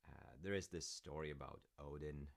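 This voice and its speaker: A man playing a supportive, rambling dad in a roleplay audio, talking gently and hesitantly to his grown child in a gravelly voice. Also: very deep voice, moderate pace. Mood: amused storytelling. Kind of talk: roleplay audio, one-sided conversation.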